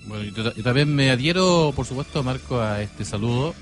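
A man's voice: mid-range voice, lively intonation, fairly quick. A Chilean man co-hosting live radio, chatting in a courteous, formal way. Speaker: radio co-host. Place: radio studio. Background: background music.

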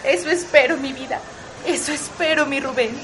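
Radio drama role: woman playing adoring middle-aged Mexican lady of the house, high voice, theatrical and tender. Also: fairly even intonation, normal speed, over music, natural voice. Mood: wistful. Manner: melodramatic, tender, sighing. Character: doting, devout, grief-stricken middle-aged mother, lady of the house. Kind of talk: radio soap opera acting.

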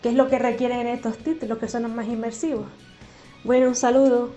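Quick higher-voiced woman listener. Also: flat delivery, warm tone, soft background music. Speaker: listener sending audio. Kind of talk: voice message question.

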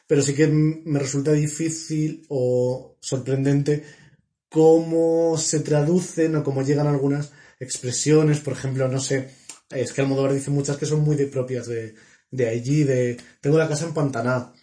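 Man, young adult, from La Mancha, mellow warm voice, measured, hesitant fillers. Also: mid-range voice, little pitch movement. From La Mancha, Spain. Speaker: podcast co-host. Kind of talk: casual film chat.

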